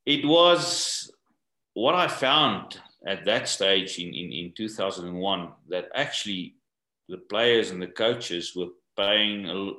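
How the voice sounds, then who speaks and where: An older man from South Africa, English not his first language, answering interview questions in a halting way and often repeating words. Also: low-pitched, expressive, sharp tone, echoey room. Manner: halting, repeats words while searching for them. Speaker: guest, rugby coach. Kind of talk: podcast interview.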